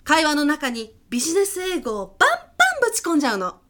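A woman's voice: sits high, big pitch swings, fast, very noisy. A woman playing a pushy, self-assured business coach and hypnotist in a comedic radio drama, teasing, condescending and dramatic. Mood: theatrical, hypnotic.

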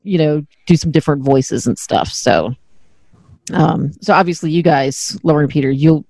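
A woman's voice: deep voice, moderate pace, dark tone, no room sound. A middle-aged woman hosting a podcast in casual chat, candid and self-deprecating, swearing freely. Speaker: podcast host.

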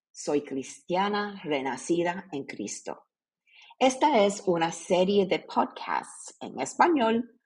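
Female podcast host, Puerto Rican accent. Earnest devotional read, unhurried delivery, lively rise and fall in pitch. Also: breathy edge. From Puerto Rico. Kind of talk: scripted devotional narration and scripture reading.

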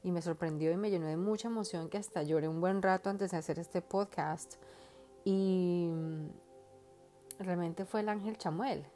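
A woman hosts her own podcast, speaking earnestly and from the heart in a loose, rambling way full of fillers. Her voice sits low and sounds warm and mellow, with soft music faintly playing behind her. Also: moderate pace. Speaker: podcast host. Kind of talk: casual personal monologue.

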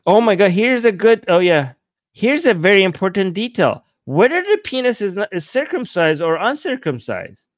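A man hosting a livestream, reading texts and viewer messages aloud and commenting casually in an animated, mock-incredulous, digressive way. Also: high-pitched, moderate pace, no echo, studio quality. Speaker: livestream host.

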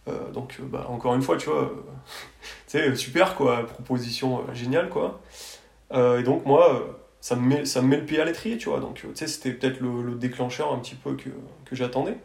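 Man with a creaky voice, casual storytelling. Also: mid-range voice, moderate pace, a little mellow. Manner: hesitant, colloquial, frequent verbal tags. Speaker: architect, podcast guest. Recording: roomy echoing sound.

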